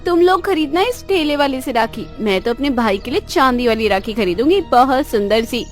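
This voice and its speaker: A woman's voice: high voice, expressive, normal speed, soft background music. A woman voicing a spoiled, boastful rich young woman in a cartoon, taunting in a showy, exaggerated way. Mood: boastful, scornful. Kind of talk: cartoon moral-story dialogue in Hindi.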